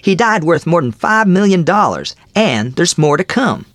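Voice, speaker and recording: A man's voice: high voice, very animated, normal speed, a bit bright, dry recording, pitched above the performer's own voice. A man voicing a talkative, gloating male village storekeeper who speaks with folksy rural grammar and is eager to spread news. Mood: gossipy, gloating. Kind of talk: storybook character voice.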